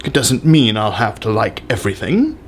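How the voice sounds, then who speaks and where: A man's voice: on the low side, big pitch swings, normal speed, a little hiss or hum. A man giving a pompous, theatrical dramatic reading of an essay with exaggerated emphasis.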